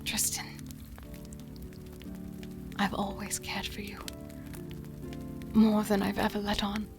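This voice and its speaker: An actress playing a caring, devoted young healer in a dark fantasy audio drama, speaking softly and intimately. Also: female voice, mid-range voice, completely flat delivery, slow pace, vocal fry. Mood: tender, confessional. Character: caring, soft-spoken young female healer, devoted and mysterious. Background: music with rain sounds.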